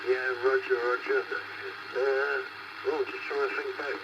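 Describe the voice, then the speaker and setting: A man's voice: mid-range voice, level tone, takes it slowly. A middle-aged British man chatting casually and in a friendly way over a CB radio, often repeating his phrases. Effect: CB radio transmission. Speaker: CB radio caller. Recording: dull, very noisy, distant CB reception.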